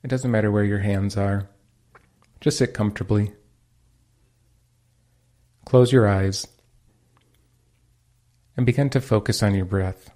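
Low, mellow-voiced man guiding meditation. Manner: calm, unhurried instructing. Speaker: meditation teacher and host. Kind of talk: guided meditation narration.

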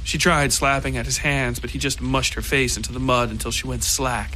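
A man narrating scripted dark fiction as the storyteller of a horror podcast. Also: medium pitch, level tone, brisk, a little hiss or hum. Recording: music under the voice.

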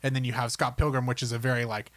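A man's voice: mid-range voice, level tone, quick pace. A man co-hosting a podcast, talking about films and games in an enthusiastic, rambling, conversational way. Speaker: podcast co-host. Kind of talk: casual film and gaming chat.

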